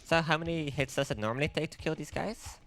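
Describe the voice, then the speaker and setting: A man co-commentating on a live speedrun, giving quick, chatty explanations. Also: fairly high pitch, a bit bright, faint music behind.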